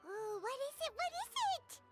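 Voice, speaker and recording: A woman voicing a tiny, excitable female companion sprite in a video game, chirpy and speaking of herself in the third person. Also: very high voice, normal speed. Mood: excited. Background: faint game music.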